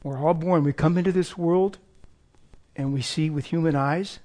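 An older man preaching in a church with a slightly high, hoarse voice. He talks in a rambling, self-deprecating way, full of fillers and restarts, and wears a clip-on mic. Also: steady tone, moderate pace, mellow. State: losing his voice. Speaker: lay preacher. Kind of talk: informal sermon with opening prayer.

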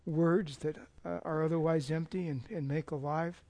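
An older man preaching in a church with a slightly high, hoarse voice. He talks in a rambling, self-deprecating way, full of fillers and restarts, and wears a clip-on mic. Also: steady tone, normal speed, darkish tone. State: losing his voice. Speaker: lay preacher. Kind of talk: informal sermon with opening prayer.

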